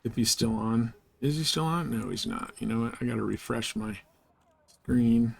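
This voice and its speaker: A man's voice: medium pitch, steady tone, normal speed, gravelly, husky. A man chatting casually and informally as he hosts a live game stream, rambling in slangy language.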